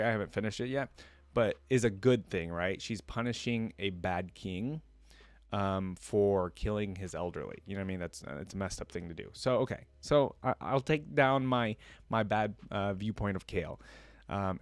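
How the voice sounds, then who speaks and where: A man's voice: lowish voice, no room sound. A man hosting a reaction video, reading a story aloud and commenting casually in slangy asides.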